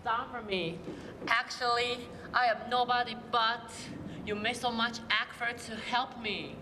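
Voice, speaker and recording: A teenage girl with a Taiwanese accent playing a grateful, ailing man from abroad in a school stage play, in earnest, halting English. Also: fairly high pitch, fairly even intonation, background noise, natural voice. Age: teens. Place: large school auditorium stage. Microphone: far stage mics. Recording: echoey hall with music behind.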